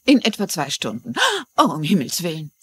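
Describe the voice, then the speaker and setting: An actress playing a shrewd, courteous mystery writer in a dubbed TV drama, articulate and measured. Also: female voice, sits low, very animated, breathy edge, dry recording, exaggerated voice. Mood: friendly, composed. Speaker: actress in a German dub.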